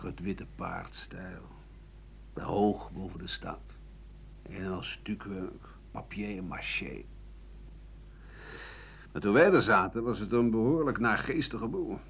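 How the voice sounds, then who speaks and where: An actor playing a bitter old French doctor-writer in a radio play, rambling through a sardonic, coarse, self-justifying monologue in a husky voice. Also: male voice, low-pitched, a bit bright, a little hiss or hum, heavily acted. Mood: weary, sardonic. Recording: dull lo-fi 1980 radio recording.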